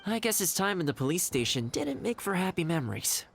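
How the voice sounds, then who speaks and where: Easygoing, reasonable young-hero type official. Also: male voice, fairly high pitch, very animated, brisk, vocal fry, a little hiss or hum. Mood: resigned, responsible. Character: reasonable, easygoing male commission official, earnest negotiator. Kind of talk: video game character acting.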